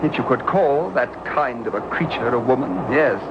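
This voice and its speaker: An actor playing a cold, disdainful Victorian gentleman who recounts his crimes in a precise, haughty manner in a radio drama. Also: medium pitch, expressive, moderate pace, natural voice. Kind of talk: radio drama narration and acting. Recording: dull lo-fi old radio recording with loud hiss.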